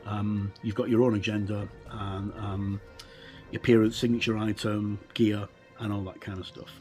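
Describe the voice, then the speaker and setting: A middle-aged man giving an unscripted walkthrough commentary as a game reviewer, in a halting, hesitant way with trailing phrases. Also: on the low side, little pitch movement, mellow, faint music behind.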